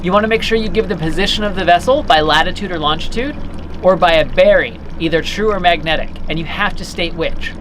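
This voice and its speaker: A man's voice: sits high, a little fast, noisy background. A man in his thirties explaining procedures methodically, step by step, as an instructor recorded outdoors by the water. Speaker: boat club operations director giving instruction. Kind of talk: instructional demonstration. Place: outdoors by the water, at a dock or on a boat.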